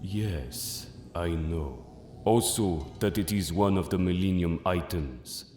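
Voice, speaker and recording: Male actor as grave mystic keeper of ancient magic, very low voice, unhurried, portentous, audio drama delivery. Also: darkish tone, faint music behind. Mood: solemn, mysterious. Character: solemn, mysterious Egyptian mystic man wielding ancient magical items. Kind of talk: audio drama acting of a manga. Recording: echoey, long cavernous reverb.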